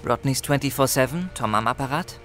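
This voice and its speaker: Actor as dry, sceptical young shop clerk. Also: male voice, medium pitch, fairly quick, over loud music, natural voice. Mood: businesslike. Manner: casual, dry, sarcastic. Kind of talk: radio play dialogue.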